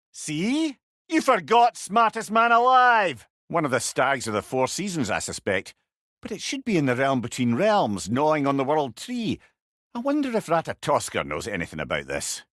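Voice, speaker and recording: An actor voicing a clever, chatty old companion in a video game, with a Scottish accent and a wry, eloquent way of talking. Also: male voice, a little high, fairly bright tone, dry recording, clean studio sound. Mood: animated, wry. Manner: wordy, eloquent, wry storyteller. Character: clever, chatty, sardonic old male severed head companion. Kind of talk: video game character dialogue.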